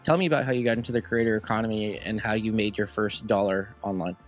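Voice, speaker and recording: A man's voice: on the low side, steady tone, quick pace, soft background music. A man hosting a podcast, asking long rambling questions full of "like" fillers in a casual tone. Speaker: podcast host.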